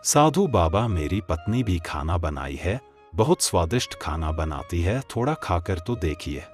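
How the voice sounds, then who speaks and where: A man playing an easygoing, lazy and doubting village farmer in a moral-story cartoon, with an expressive delivery. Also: low voice, a little slow. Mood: curious. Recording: music under the voice.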